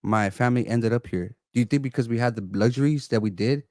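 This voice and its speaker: A man's voice: medium pitch, quick pace, mellow, dry recording, studio quality. A man from California arguing earnestly on a live stream from an apartment, repeating phrases and talking over the other man. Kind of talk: heated argument. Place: indoors, an apartment.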